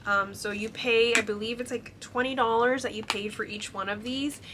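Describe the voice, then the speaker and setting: A woman's voice: a little high, steady tone, normal speed, noisy background. A young woman chatting casually to camera, her voice stuffy and congested from a cold, filling her talk with ums and likes. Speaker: vlogger showing a shopping haul.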